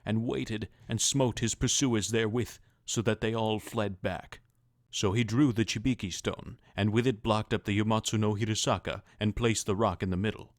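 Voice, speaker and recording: A man narrating, reading an old myth aloud in a slow, formal storytelling manner. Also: medium pitch, no echo, studio quality.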